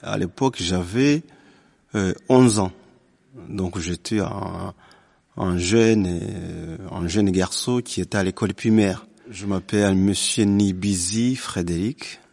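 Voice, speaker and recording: A middle-aged man from northern Rwanda with a Rwandan accent, giving personal testimony in a halting way with many pauses and repeats. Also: sits low, creaky, a little mellow.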